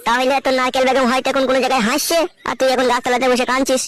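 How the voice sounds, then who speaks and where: A woman's voice: high-pitched, little pitch movement, very fast, no echo, natural voice. A woman voicing a cheeky, crude young nephew in a comic cartoon, blunt and teasing. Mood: consoling, teasing.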